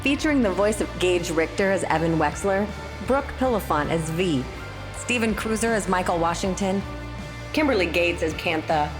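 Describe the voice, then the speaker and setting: A woman's voice: lowish voice, expressive, normal speed. A woman reading scripted credits as the announcer of an audio drama. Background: prominent music.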